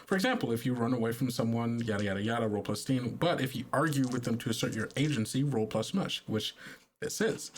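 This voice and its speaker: A man's voice: mid-range voice, a little hiss or hum. Conversational man running a tabletop game. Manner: conversational, heavy use of filler words. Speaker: game master. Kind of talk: improvised tabletop game narration.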